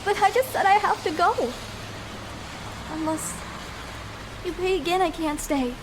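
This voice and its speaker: A woman's voice: very high-pitched, measured pace, background noise. A young woman playing a shy, vulnerable escort in an intimate ASMR roleplay, speaking hesitantly and tenderly with small stammers. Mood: flustered.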